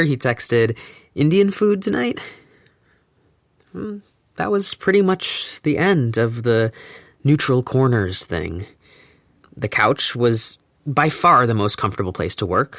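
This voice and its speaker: Wry young narrator, reflective, gently amused, audio drama, mid pitch, dry close sound. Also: male voice, dry recording. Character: young man recounting his lockdown relationship.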